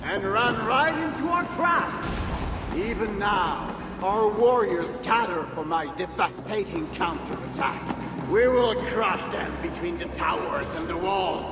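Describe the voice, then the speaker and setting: An actor playing a pompous, zealous bishop, oratorical and sermonizing, in a video game cutscene. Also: male voice, high-pitched, lively intonation, measured pace. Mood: menacing, zealous. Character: pompous, zealous Frankish bishop preaching defiance. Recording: echoing stone hall, distant, music behind.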